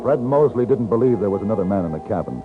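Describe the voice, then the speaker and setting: A man playing a calm, principled gunfighter hero in a 1950s radio western, measured and firm. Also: lowish voice, loud music behind the voice, lightly acted. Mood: steady narrating. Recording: noisy muffled 1950s radio recording.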